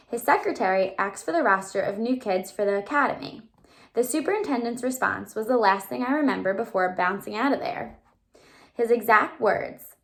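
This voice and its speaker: Female read-aloud teacher, voice a little high, animated storyteller for young listeners, explains as she goes. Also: vocal fry. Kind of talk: expressive children's book read-aloud.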